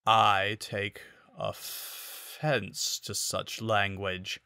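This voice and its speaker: Man doing a slow, slurring drunken panther. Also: lowish voice, flat delivery, bright, forceful tone, clean tone, no room sound, studio quality, exaggerated voice. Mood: mock offended. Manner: drawling, slurred, mock-courtly.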